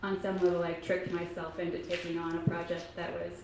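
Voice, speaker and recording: A woman from Kentucky in her thirties, an author speaking softly and haltingly to a library audience, with many pauses and ums. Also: on the low side, completely flat delivery. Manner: soft-spoken, halting, frequent ums. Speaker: author giving a library talk. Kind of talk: casual talk to an audience. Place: public library meeting room. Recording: echoey room, faint noise.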